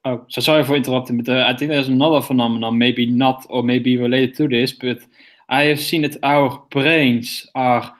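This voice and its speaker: A man's voice: mid-range voice, steady tone, moderate pace. A Dutch man with a Dutch accent on a video call, speaking English hesitantly with stammers and apologies. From Netherlands.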